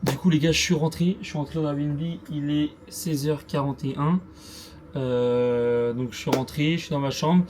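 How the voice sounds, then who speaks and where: A man's voice: fairly high pitch, fairly even intonation, moderate pace. Brief: Chatty male travel vlogger, loose and slangy, street noise around a handheld camera mic. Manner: loose, slangy and self-mocking, with frequent fillers. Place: mostly outdoors in sunny, busy city streets. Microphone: small handheld gimbal camera mic.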